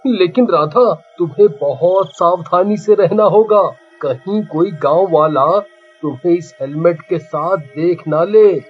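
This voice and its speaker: Man playing a high, husky, caring cartoon husband. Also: takes it slowly, exaggerated voice. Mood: concerned. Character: caring, cautious young village husband. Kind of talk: cartoon voice acting. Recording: muffled lo-fi audio, music behind.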